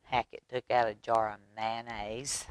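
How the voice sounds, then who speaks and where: A woman reading a picture book aloud at storytime for young children, animated, with chatty asides to her listeners. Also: very deep voice, unhurried, smooth, no room sound.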